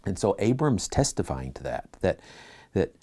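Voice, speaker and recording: A man's voice: low-pitched, very animated, moderate pace, warm tone. A man teaching a church Bible class, reading scripture aloud and putting earnest questions to his listeners.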